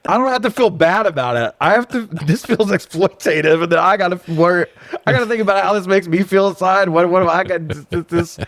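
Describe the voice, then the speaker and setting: A man's voice: high voice, brisk, fairly bright tone, dry recording. A man co-hosting a casual movie-review show, recapping plots in a sweary, irreverent, joking way. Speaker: podcast co-host.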